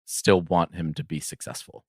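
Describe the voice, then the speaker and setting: Male sports podcast co-host, low voice, casual and conciliatory, feels his way through opinions. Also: steady tone, normal speed, no echo, studio quality.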